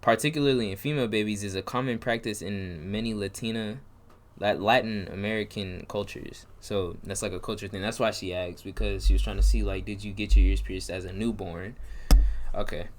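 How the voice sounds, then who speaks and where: A man's voice: on the low side, fairly even intonation, brisk. A man casually reacting to a video, talking slangy and laid-back to his viewers. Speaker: reaction streamer.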